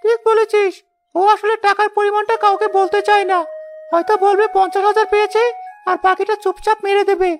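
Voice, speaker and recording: An extremely high-voiced, sly male villager, acted out by a man, spiteful and insinuating. He spreads suspicion in cartoon dialogue, over light music. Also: level tone, brisk. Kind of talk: animated folk-tale dialogue.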